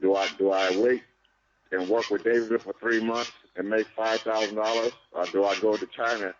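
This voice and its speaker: Low-voiced plainspoken man, stammering. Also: little pitch movement, normal speed, background noise. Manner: plainspoken, stammers and repeats words. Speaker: boxing trainer, guest. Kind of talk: interview answers over a call. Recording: dry muffled call audio.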